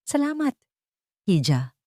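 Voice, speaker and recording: A woman's voice: on the high side, big pitch swings, slow, warm tone, dry recording, studio quality, voice pushed up, exaggerated voice. A woman storyteller voicing a humble elderly mother who speaks meekly and tenderly.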